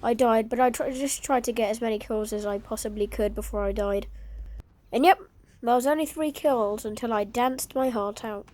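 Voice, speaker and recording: A woman's voice: on the high side, normal speed, a little mellow. A woman with a sore, congested throat, giving dry, self-deprecating voice-over commentary on her gameplay clips. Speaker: gaming video creator.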